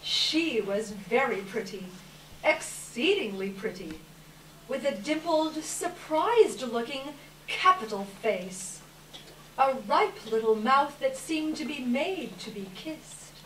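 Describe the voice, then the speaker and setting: Woman narrator, readers theater, slow deliberate storytelling, emphatic phrasing, distant roomy recording with faint noise. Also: high voice, animated, fairly bright tone. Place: live reading in a roomy hall.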